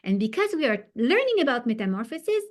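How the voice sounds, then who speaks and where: A woman's voice: on the high side, sing-song, quick pace, pitched above the performer's own voice. Woman doing a sing-song schoolteacher. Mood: encouraging. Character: cheerful female first-grade teacher.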